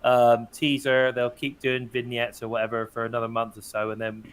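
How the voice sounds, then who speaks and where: A British man with a slightly hoarse, croaky voice, chatting casually as a podcast co-host. He speaks at a mid pitch and keeps the talk moving, handing over to his co-hosts. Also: completely flat delivery, normal speed. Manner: chatty, leads the discussion and hands over to others. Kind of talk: casual wrestling podcast chat.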